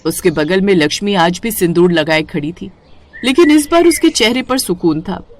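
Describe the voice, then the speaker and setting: A woman's voice: fairly low pitch, lively intonation, moderate pace, a little hiss or hum. A woman narrating a scripted cartoon moral story as a storyteller.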